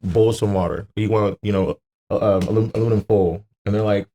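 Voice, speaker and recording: A man's voice: on the low side, steady tone, fairly quick, dark tone, slight background noise. An American man in casual podcast conversation, rambling and repeating words for emphasis as he goes. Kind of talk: casual chat.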